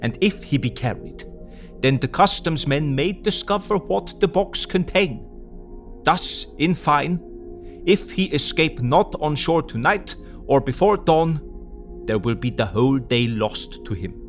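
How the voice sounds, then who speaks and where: A man playing a learned, resolute older foreign professor in an audio drama, speaking deliberately with quaint phrasing. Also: a little high, lively intonation, faint music behind. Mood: grave, explaining. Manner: deliberate, emphatic, quaint non-native phrasing.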